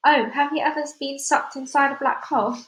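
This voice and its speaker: A woman's voice: fairly high pitch, lightly acted. A woman voicing a curious, headstrong young girl who fires off eager questions, in an echoey room. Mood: excited. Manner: rapid-fire eager questions.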